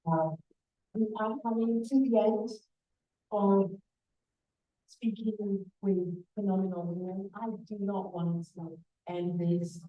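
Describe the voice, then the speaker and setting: A woman's voice: medium pitch, steady tone, takes it slowly, muffled recording. A woman hosting an awards event over a video call, effusive and emphatic, with a voice she is losing. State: losing her voice. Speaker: event host.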